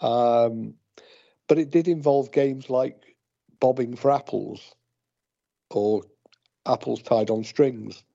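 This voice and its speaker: A man's voice: mid-range voice, slow, gravelly, pauses often, mellow. A British man co-hosting a podcast in casual chat, with a wry, understated manner. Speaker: podcast co-host. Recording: dull lo-fi call audio.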